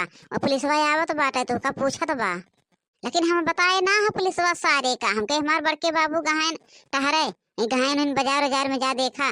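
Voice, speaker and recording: A woman's voice: high-pitched, sharp tone, no room sound. A woman voicing a chiding village sister-in-law in a rustic cartoon comedy, with an Awadhi accent and colloquial delivery.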